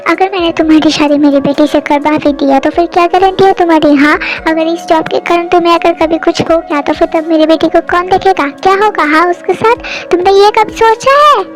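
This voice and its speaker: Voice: very high voice, brisk, faint music behind. A young performer voicing a stubborn middle-aged father who scolds and dismisses. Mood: stern.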